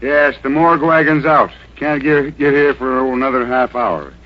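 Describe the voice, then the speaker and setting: An American man playing a dry, gruff police sidekick in an old-time radio detective drama. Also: mid-range voice, moderate pace, lightly acted. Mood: brisk. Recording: dull noisy old radio recording.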